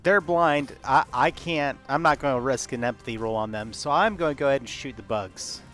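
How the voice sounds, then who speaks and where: A man's voice: medium pitch, brisk, fairly bright tone. A man playing in a live tabletop roleplaying game, talking through his moves in casual banter. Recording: music behind the voice. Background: background music.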